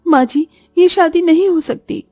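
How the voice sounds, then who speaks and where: A woman's voice: extremely high pitch, a little slow, a little mellow, no echo, faint music behind, pitched above the performer's own voice. A woman voicing a meek, wronged young bride in a cartoon story, pleading and plaintive. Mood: wounded, firm. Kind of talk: Hindi cartoon story voice acting.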